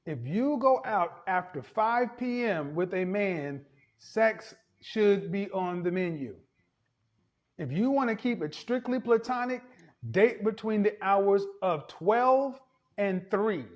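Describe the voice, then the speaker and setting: Man, high voice, brisk declarative advice. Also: animated. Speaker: dating advice speaker in a played clip.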